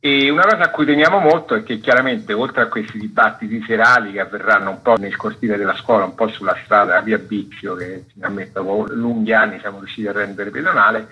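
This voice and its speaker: A man, a festival curator, answering interview questions on a radio program in a relaxed, meandering way. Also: on the low side, quick pace, few pauses, breathy edge, lo-fi sound. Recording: radio broadcast.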